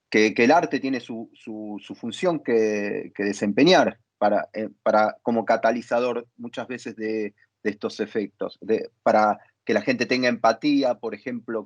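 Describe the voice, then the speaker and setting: A man moderating an interview, speaking reflectively in thoughtful, halting phrases. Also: medium pitch, no echo. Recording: dull, muffled lo-fi line.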